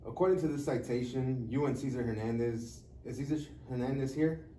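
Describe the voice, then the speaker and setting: A man's voice: medium pitch, fairly quick, warm tone, close to an everyday voice. A man playing a stern, impatient juvenile court judge with a curt, authoritative manner in a live table read. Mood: formal. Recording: echoey room, distant mic, faint noise.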